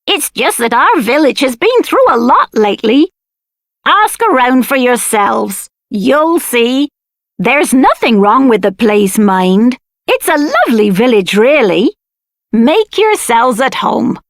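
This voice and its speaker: A woman's voice: on the high side, big pitch swings, slow, no echo, clean studio sound, exaggerated voice. An actress playing a motherly, earnest village woman in a video game. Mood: apologetic, welcoming. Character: motherly village woman, scolding yet welcoming. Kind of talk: video game character acting.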